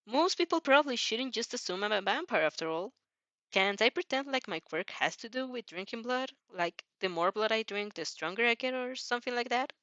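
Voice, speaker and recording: A woman's voice: on the high side, animated, a little fast, fairly bright tone, no echo, dull audio. A woman voicing a cheerful, confident young vampire girl in a fan fiction reading, casual and self-assured. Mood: pondering.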